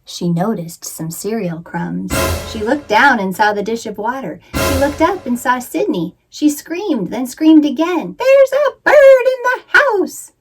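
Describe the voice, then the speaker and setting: A woman narrating a children's picture book in an expressive, theatrical read-aloud style with suspenseful emphasis. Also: fairly high pitch, unhurried, hardly any pauses, soft background music, low-quality recording. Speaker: children's author and librarian reading aloud.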